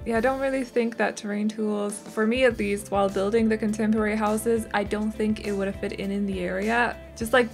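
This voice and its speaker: A young woman narrating a game building video in a chatty, casual voiceover, her voice a little rough and strained, often correcting herself and giggling. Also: medium pitch.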